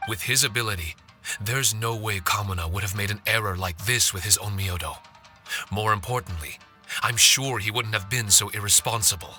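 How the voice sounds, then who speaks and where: A man voicing a solemn, loyal spirit servant in a video game, speaking in a measured, formal way. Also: fairly low pitch, pushed and bright. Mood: earnest. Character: solemn male paper-charm spirit servant, loyal and earnest. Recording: music behind the voice.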